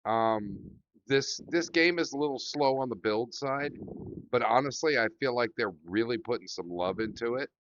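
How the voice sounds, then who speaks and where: A man's voice: medium pitch, moderate pace, a bit bright, no room sound, dull audio. A man hosting a live game stream, casually narrating what he shows on screen. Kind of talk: casual gameplay commentary.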